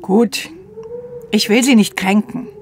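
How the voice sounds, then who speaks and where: A woman's voice: mid-range voice, takes it slowly, darkish tone, slight background noise, close to an everyday voice. An actress playing a guarded, bitter widowed mother in a radio play, weary and formal in manner.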